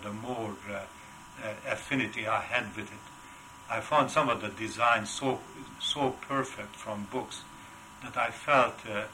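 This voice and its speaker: An older man with a heavy Hungarian accent speaks in a bright, forward voice, pitched a little low. He talks in a halting way, pausing often on filler sounds. A faint hum sits behind him. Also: rough recording. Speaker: wood carver, interview guest. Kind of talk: casual interview.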